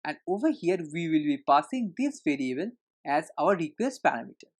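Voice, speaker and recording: A woman's voice: low-pitched, very animated, fairly quick. A woman narrating a screencast tutorial as an instructor, explaining things step by step in an instructional way.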